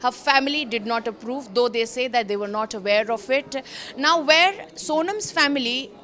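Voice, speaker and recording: High-pitched Indian woman reporting news. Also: lively intonation, moderate pace, fairly bright tone. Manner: emphatic, repeats phrases for stress, rhetorical questions. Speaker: news reporter presenting a crime story. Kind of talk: news explainer commentary, semi-scripted.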